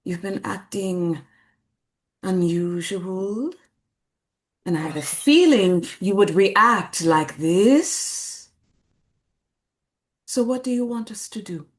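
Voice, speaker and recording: An actress playing a firm, traditional village woman in a staged drama reading, blunt and deliberate with her old friend. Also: medium pitch, expressive. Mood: firm, insistent. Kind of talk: staged script read of a drama.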